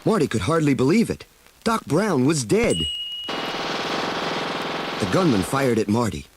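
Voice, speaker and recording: A man narrating a children's read-along story in a dramatic, expressive storytelling manner. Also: mid-range voice, unhurried, noisy background.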